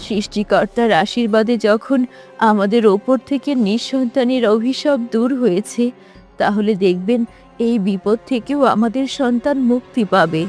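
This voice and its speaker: A gentle, comforting queen played by a woman with a fairly high voice. She speaks as in a cartoon folk tale, with music underneath. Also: moderate pace, close to an everyday voice. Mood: consoling. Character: gentle, consoling, devout queen.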